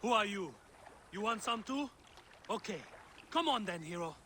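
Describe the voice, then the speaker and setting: High-pitched cruel street bully. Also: male voice, expressive, normal speed. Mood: menacing, taunting. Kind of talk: video game cutscene acting. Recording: loud noise over the voice.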